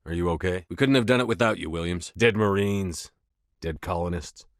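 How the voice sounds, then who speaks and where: A resolute starship commander in a video game. His voice sits low and is recorded very dry. He speaks tersely, with firm authority, like someone used to giving orders. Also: normal speed, no room sound. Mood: stern, measured. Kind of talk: video game voice acting, scripted dialogue lines.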